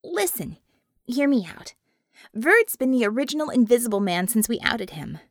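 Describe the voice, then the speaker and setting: A woman's voice: a little high, big pitch swings, moderate pace, no room sound, studio quality. A woman voicing a brash, impatient female team leader in an audiobook, speaking emphatically with wry sarcasm. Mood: persuasive. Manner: emphatic, exclaiming, sardonic.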